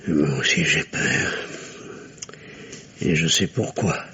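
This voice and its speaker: A man's voice: a bass voice, takes it slowly, bright, forceful tone, a little hiss or hum, exaggerated voice. An actor playing an anxious, evasive middle-aged family doctor and father in an old-style radio drama, hesitant and theatrical. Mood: fearful, weary. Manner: hesitant, theatrical, repeats phrases reassuringly. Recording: muffled old radio recording.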